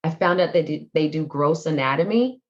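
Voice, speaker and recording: A woman in her forties from Jamaica who grew up in Brooklyn, telling her story as a podcast guest in a playful, animated way. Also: low-pitched, a little fast, dull audio. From Jamaica, raised in Brooklyn, New York. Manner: animated, playful, acts out her past self in quotes. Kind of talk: casual interview storytelling.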